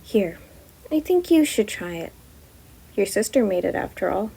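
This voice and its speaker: A woman voicing a bubbly, easily distracted young female ruler who gushes excitedly. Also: medium pitch, normal speed, creaky, breathy edge, heavily acted. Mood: gentle. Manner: gushing, excitable.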